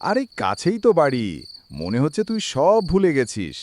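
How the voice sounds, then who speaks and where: A man's voice: fairly high pitch, huge pitch swings, unhurried, darkish tone. Ghost cartoon uncle male actor: eerie, familiar, coaxing, hugely varied intonation, slight insect noise. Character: strange, tired uncle luring his nephew home.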